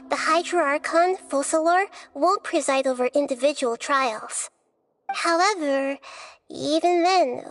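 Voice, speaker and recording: A woman voicing a gentle, childlike young goddess in a video game, speaking in measured, formal, poetic phrases. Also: high voice. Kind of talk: scripted video game dialogue.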